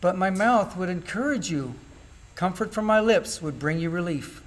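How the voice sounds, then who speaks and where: A man's voice: sits high, lively intonation, moderate pace, rough recording. A man playing an anguished, bitter suffering man in an amateur church Bible drama, reciting in a declamatory way. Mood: bitter, defiant.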